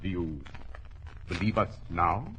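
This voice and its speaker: A man playing a shrewd, smug gentleman detective, articulate and commanding, in 1940s radio acting style. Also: sits low, takes it slowly, full character voice. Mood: earnest, commanding. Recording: noisy vintage 1940s radio recording.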